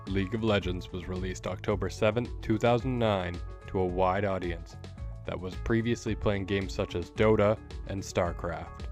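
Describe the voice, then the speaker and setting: Male documentary narrator, low register, scripted read over a clear music bed.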